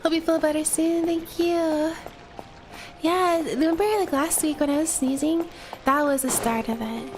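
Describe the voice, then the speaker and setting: A woman's voice: high voice, fairly even intonation, measured pace, noisy background. A woman streaming a game, talking casually to her viewers while stuffy from a cold. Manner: chatty, talks to viewers between game lines. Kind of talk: casual live-stream chat.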